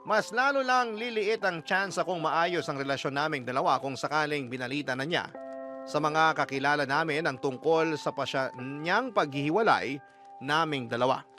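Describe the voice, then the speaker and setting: Higher-voiced Filipino man narrating over music. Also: normal speed, pushed and bright. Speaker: radio drama storyteller. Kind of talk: emotive first-person scripted narration.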